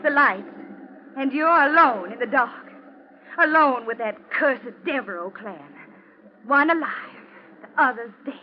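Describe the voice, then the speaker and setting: An actress playing a cold, taunting wife bent on revenge, in an ominous, theatrical 1940s radio drama style. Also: female voice, high-pitched, animated, a little slow. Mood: vengeful, menacing. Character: vengeful wife, cold, taunting, avenging her father. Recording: muffled, noisy old radio recording.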